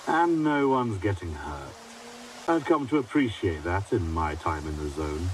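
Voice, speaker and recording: An actor playing a wary, pragmatic mercenary leader in a video game, measured and dryly menacing. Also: male voice, low-pitched, big pitch swings, far from the mic, slight background noise, low-quality recording. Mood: cool, wary.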